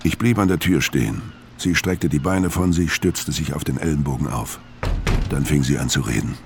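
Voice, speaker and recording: A man's voice: very deep voice, normal speed, background noise. A man acting a world-weary, hard-boiled criminal who tells his story tersely in a crime radio drama. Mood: brooding. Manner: terse, laconic. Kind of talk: first-person crime radio drama narration and dialogue.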